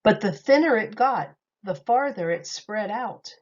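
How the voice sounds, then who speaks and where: A woman teacher reading a children's picture book aloud in an expressive, lively way, in a slightly muffled recording. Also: mid-range voice, unhurried.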